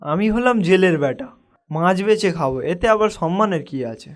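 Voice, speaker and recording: A young village fisherman from a cartoon, played by a man, with a notably high-pitched voice. He is humble and hardworking, and speaks earnestly, like a modest man standing by his honest living. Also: expressive, normal speed. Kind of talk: cartoon dialogue acting.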